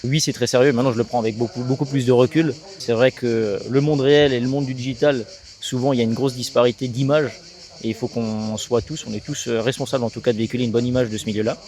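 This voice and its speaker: Fast-talking man from Alsace. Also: mid-range voice, fairly even intonation, a little mellow. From Alsace, France. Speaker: sales agency co-founder being interviewed. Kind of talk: casual interview.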